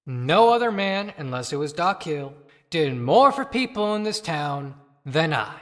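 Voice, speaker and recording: A man's voice: fairly high pitch, very animated, measured pace. A man playing a good-hearted but disgraced dead small-town doctor in a declamatory audio-play monologue. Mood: proud. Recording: long cavernous reverb.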